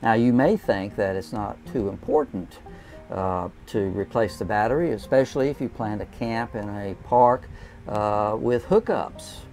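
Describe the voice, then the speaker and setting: An older man speaks in a low, gravelly voice, steady and down-to-earth as he walks viewers through trailer upkeep. He talks from inside a small camper into a lapel mic. Faint guitar music plays underneath. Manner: plain, folksy, unhurried with frequent "uh" fillers. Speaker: RV travel vlogger. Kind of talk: casual how-to talk to camera. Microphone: clip-on lapel mic.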